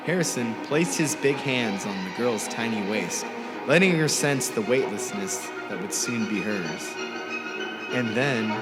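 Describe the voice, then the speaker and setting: A man reading a short story aloud in a deliberate, theatrical style, drawing out some words. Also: mid-range voice. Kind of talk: dramatic scripted story narration. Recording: music score under the voice. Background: music score.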